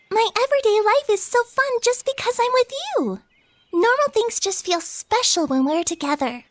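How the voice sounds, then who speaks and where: Very high-pitched, shy schoolgirl. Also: no room sound, heavily acted. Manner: hesitant, flustered, polite. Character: shy, earnest, devoted teenage schoolgirl with a crush. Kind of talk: video game anime-style dub acting.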